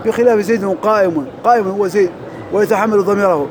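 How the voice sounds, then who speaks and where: A man teaching Arabic grammar in a mosque, drilling through examples quickly and reciting verses slowly. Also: high voice, crowd noise. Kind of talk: lesson parsing grammar and verse.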